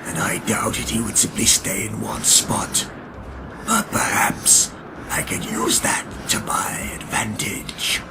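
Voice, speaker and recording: Gravelly, brooding vampire. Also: male voice, fairly low pitch, level tone, whispered. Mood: wary, calculating. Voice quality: gravelly, creaky. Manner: brooding, theatrical, drawn-out delivery. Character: proud male vampire hunter, grim, vengeful and contemptuous. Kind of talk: dramatic first-person narration in an audio drama. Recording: music under the voice. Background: music.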